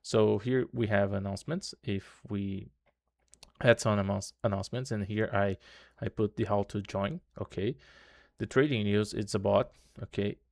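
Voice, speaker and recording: A man's voice: lowish voice, fairly even intonation, moderate pace, gravelly, darkish tone, no echo, clean studio sound. A man whose first language is Portuguese, hosting his trading channel and walking viewers through a screen share in casual English, tagging almost every phrase with "okay" or "right". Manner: loose repetitive tutorial talk, constant "okay" and "right" tags.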